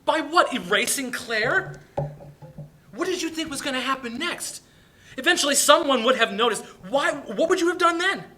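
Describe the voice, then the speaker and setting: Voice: high-pitched, animated, moderate pace, sharp tone. A performer playing a hurt, blunt, protective young brother in a dramatic play reading. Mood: shocked, accusing.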